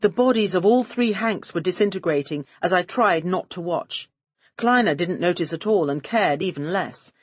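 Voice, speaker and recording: A woman's voice: lowish voice, lively intonation, normal speed, husky, no room sound, studio quality. A woman reading a first-person detective story as an audiobook narrator, with a wry, arch and poised delivery.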